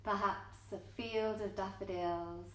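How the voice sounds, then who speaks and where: A woman teaching yoga nidra, leading a guided meditation gently in short, measured phrases with long pauses. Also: mid-range voice, steady tone. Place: indoor yoga studio, live class. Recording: roomy, echoing yoga studio.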